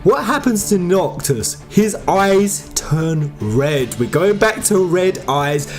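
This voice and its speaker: Animated pitch swings, emphatic fan theory host, informal and exclamatory, mid-range voice, music in the background. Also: takes it slowly. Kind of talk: excited fan theory commentary over game footage.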